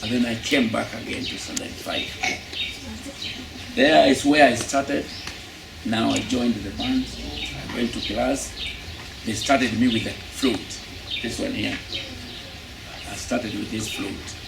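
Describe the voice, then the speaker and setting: A man with a Kenyan accent, a musician recounting his life story in an unhurried, reminiscing interview. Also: medium pitch, vocal fry. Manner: unhurried, reminiscing, repeats himself. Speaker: musician and instrument technician. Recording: roomy, distant, loud background noise.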